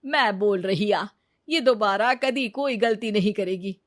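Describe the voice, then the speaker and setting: A woman plays a forgiving older mother-in-law in a cartoon story. She speaks slowly and soothingly, pleading her case. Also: medium pitch, no echo, studio quality, lightly acted.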